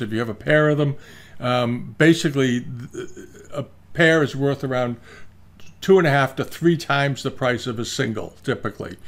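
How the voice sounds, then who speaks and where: Older male host, relaxed chatty auction talk, meandering with fillers, desk webcam recording. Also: medium pitch, gravelly. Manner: rambling, many fillers and restarts. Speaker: antiques auction commentator. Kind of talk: casual video commentary. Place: indoor room, screen-share with webcam inset.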